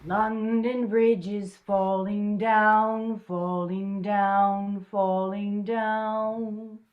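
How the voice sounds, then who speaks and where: An actress in a radio play voicing an entranced undead vampire woman, hushed, eerie and drawn-out. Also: medium pitch, level tone, dull audio. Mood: eerie singsong.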